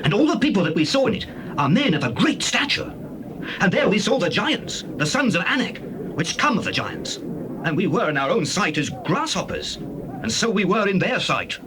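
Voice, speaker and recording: Awestruck scout, high animated voice, urgent delivery, scripture drama, noisy tape. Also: male voice, moderate pace. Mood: fearful. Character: fearful, awestruck male scout giving report.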